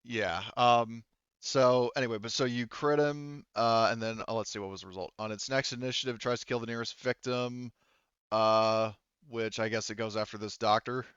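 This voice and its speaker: A man running a tabletop game as game master, thinking aloud in a halting, casually profane way as he talks through rules and narration. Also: mid-range voice, steady tone, fairly bright tone, smooth, no room sound, muffled recording.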